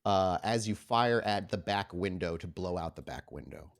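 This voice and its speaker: Low-pitched man running a tabletop game. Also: animated, moderate pace. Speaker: game master. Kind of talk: tabletop game narration and rules talk.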